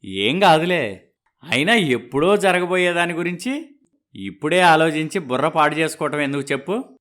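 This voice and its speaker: Casting: male voice for scheming, easygoing matchmaker friend, middle-aged, expressive, moral-story dialogue. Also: on the high side, moderate pace. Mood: persuasive.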